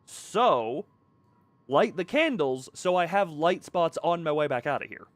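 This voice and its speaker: Higher-pitched, animated male game streamer. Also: unhurried. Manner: rambling, self-deprecating, thinking aloud. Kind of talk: casual live gameplay commentary. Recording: faint background noise and game ambience.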